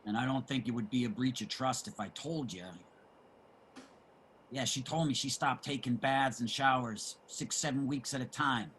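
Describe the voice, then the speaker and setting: A man playing a streetwise homeless man in a play reading, generous and opinionated, with salty, emphatic street talk and a husky voice. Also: mid-range voice, moderate pace, fairly bright tone, slight background noise, natural voice. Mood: scolding, gossipy. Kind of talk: dramatic table reading of a stage play.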